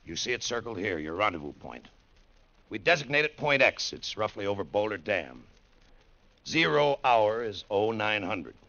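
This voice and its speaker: An actor playing an authoritative Air Force colonel in an old-time radio drama, briefing with clipped, emphatic repeats. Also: male voice, fairly low pitch, sing-song, moderate pace, creaky, sharp tone, slight background noise, exaggerated voice. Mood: brisk, businesslike. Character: gruff commanding middle-aged Air Force colonel, test-flight boss. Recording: muffled vintage radio recording.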